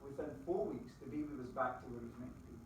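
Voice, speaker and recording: A middle-aged Scottish man giving a lively motivational talk to a live audience, quizzing the crowd with folksy asides. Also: mid-range voice, very fast, background noise. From Scotland. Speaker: business opportunity presenter. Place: presentation room with an audience. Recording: very echoey room, distant mic.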